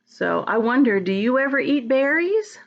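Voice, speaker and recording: A woman's voice: high voice, very animated, normal speed, vocal fry, muffled recording. A woman reading a storybook aloud to young children, talking to them directly and expressively, drawing out words. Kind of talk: read-aloud to young children, chatting and asking questions.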